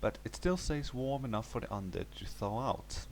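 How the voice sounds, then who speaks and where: A man's voice: medium pitch, expressive, normal speed, gravelly, a little hiss or hum, poor audio. A man reading a scripted first-person horror story as its narrator.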